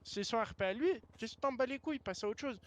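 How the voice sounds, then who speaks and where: A man's voice: very high voice, quick pace, over a noisy crowd. A man arguing casually in online game voice chat, slangy, blunt and defensive. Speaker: game server founder.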